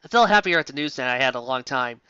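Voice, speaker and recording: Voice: mid-range voice, expressive, racing, bright, forceful tone, clear, resonant tone, no room sound, voice pushed down. A reader playing a melodramatic, self-important young heroine narrating a story with solemn, overwrought delivery, slightly lo-fi. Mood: overjoyed.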